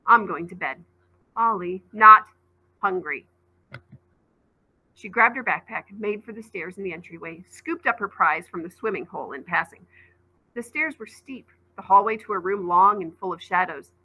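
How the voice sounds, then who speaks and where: A woman reads a children's novel aloud in an expressive teacherly way. Her voice is bright and forward, with a middle pitch, and she narrates the story with clear feeling. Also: normal speed, rough recording.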